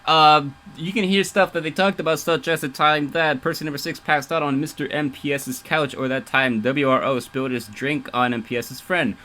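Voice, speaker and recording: A man's voice: fairly high pitch, steady tone, normal speed, hardly any pauses, bright, forceful tone. A man hosting a casual livestream radio show as DJ, playful and rambling, with emphatic announcing.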